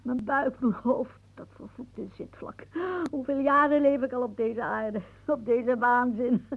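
Casting: bitter, weary older aunt, fairly high voice, brooding radio-play delivery, narrow old recording. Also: female voice, fairly even intonation, a little slow. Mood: anguished, exalted. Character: weary aging aunt, bitter, musing, aching body. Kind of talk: radio drama acting, monologue-like.

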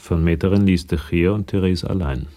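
A man's voice: low voice, a little fast, mellow, close to an everyday voice. A man narrating a police crime radio drama from a script. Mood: measured.

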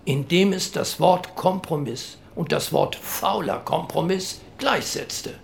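An admonishing parliamentary delegate of the late forties in a radio docudrama. His voice is rather high and gravelly, and he instructs emphatically. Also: slow, a little hiss or hum. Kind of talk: acted speech from historical minutes.

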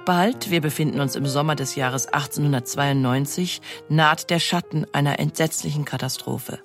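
A woman's voice: sits low, normal speed, soft background music. Woman narrator, scripted documentary read.

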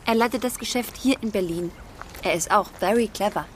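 A woman acting in a radio drama as a lively, outspoken young daughter, proud and teasing, who drops English phrases into her speech. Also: mid-range voice, a little fast, slight background noise, close to an everyday voice. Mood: candid, cautioning.

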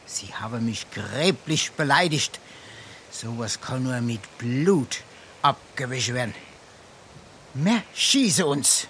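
An actor playing a brazen, pompous scrounger in a Darmstadt Hessian dialect comedy, theatrical and blustering. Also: male voice, medium pitch, lively intonation, slow pace, fairly bright tone, a little hiss or hum. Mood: indignant bluster. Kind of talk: dialect stage comedy acting.

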